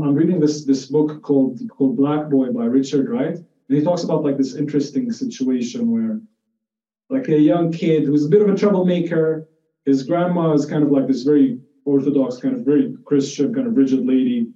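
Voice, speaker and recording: A man's voice: medium pitch, fairly even intonation, normal speed, mellow. A man from Jordan giving an informal, conversational lecture, explaining ideas through personal anecdotes and often restarting his phrases. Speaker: lecturer in psychiatry. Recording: muffled, echoey room, distant mic.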